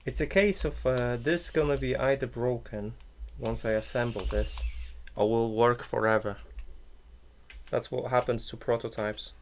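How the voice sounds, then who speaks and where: Gravelly man muttering while he tinkers. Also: mid-range voice, fairly even intonation, unhurried, slight background noise. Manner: thinks aloud, muttering, slightly broken English. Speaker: maker streamer. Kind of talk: casual live-stream chat while building.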